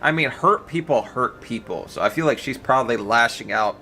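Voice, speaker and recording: A man's voice: mid-range voice, animated, moderate pace, pushed and bright. A man giving casual live reaction commentary in a blunt, exclamatory, foul-mouthed way. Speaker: reaction streamer.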